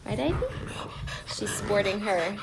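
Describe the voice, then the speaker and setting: A woman's voice: medium pitch, measured pace. A woman co-hosting a live story time, chatting casually to viewers. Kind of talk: casual chat to a live audience. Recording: clear background noise.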